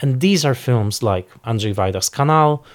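A man's voice: mid-range voice, lively intonation, moderate pace. A man speaking as a film expert in an interview, searching for words and repeating for emphasis.

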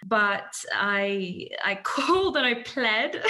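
A woman's voice: medium pitch, lively intonation, slow, bright, forceful tone. Young woman, podcast guest; candid, chatty storyteller with a mid-range voice. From born in Iraq, raised in London. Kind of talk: casual interview chat.

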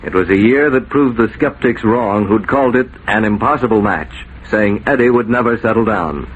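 A man's voice: lowish voice, moderate pace, dull audio. A man narrating as a 1940s radio announcer, dramatic and declamatory. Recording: noisy 1940s radio recording.

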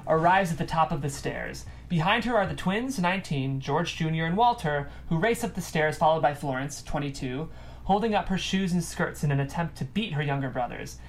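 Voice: mid-range voice, expressive, brisk, rough recording. A narrator reading scripted stage directions aloud at a table read.

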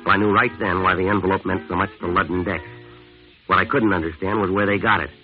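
An actor playing a tough, world-weary American jazz musician, clipped and deadpan, in a 1950s hard-boiled radio drama. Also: male voice, sits low, fast talker, lightly acted. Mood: deadpan, brooding. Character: tough, world-weary male jazz musician narrating his crime story. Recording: tinny old radio recording with music.

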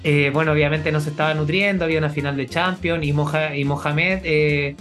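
A man's voice: on the high side, fairly even intonation, brisk, fairly bright tone. Chatty Chilean man with faint guitar behind. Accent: Chilean Spanish. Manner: chatty, laughs often, many fillers. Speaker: podcast co-host. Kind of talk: casual chat.

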